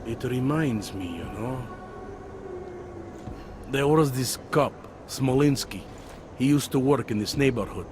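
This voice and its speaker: A man's voice: medium pitch, expressive, measured pace, pauses often, background noise, natural voice. A man acting a world-weary, middle-aged police detective in a video game, with a hesitant, wry, conversational delivery. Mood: pensive, hesitant. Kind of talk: video game cutscene dialogue.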